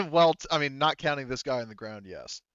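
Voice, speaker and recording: A man narrating a tabletop game as game master, casually and haltingly, with some swearing. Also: medium pitch, lively intonation, fairly bright tone, clear, resonant tone, no room sound, muffled recording. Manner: halting, profane.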